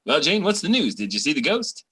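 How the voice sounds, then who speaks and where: A man's voice: fairly high pitch, very animated, a little fast, a bit bright, slightly rough, no echo, studio quality, heavily acted. A man playing an easygoing, dopey boyfriend with a folksy, slangy way of talking in a radio comedy. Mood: agreeable. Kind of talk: 1950s radio comedy acting. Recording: very noisy, with clicks.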